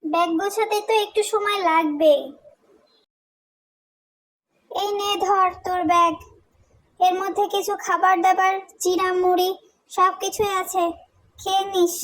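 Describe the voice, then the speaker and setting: Woman voicing a high-pitched nagging village mother. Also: a bit bright, low-quality recording. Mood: caring, fussing. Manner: scolding, pleading, rhetorical questions. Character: nagging, worried village mother of a grown son. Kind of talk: cartoon storytelling dialogue.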